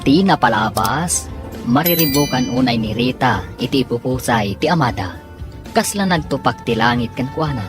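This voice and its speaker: A man's voice: mid-range voice, expressive, normal speed. Dramatic male radio narrator over music. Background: music under the voice.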